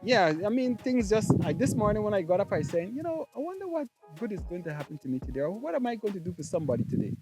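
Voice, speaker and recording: A man's voice: high voice, sing-song, fast, hardly any pauses, over music. A man from Guyana, telling stories in a casual chat with many hesitations. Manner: hesitant, many ums, laughs easily. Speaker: guest. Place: outdoors on a farm.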